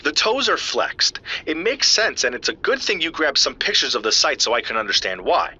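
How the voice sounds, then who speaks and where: A male actor as an earnest scientist with a sharp, forceful voice and a husky grain. He lectures precisely yet familiarly in an audio drama, swinging widely in pitch, through a slightly dull recording. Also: very animated, normal speed, exaggerated voice. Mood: assured, wry. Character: earnest, geeky male scientist explaining footprint evidence to a friend. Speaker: scientist analysing footprint casts. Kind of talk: audio drama dialogue, technical explaining.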